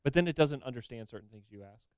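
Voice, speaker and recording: A man's voice: medium pitch, lively intonation, fast talker, clean tone, no room sound, studio quality. A man co-hosting a casual podcast, asking curious follow-up questions. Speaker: podcast co-host. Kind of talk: casual chat.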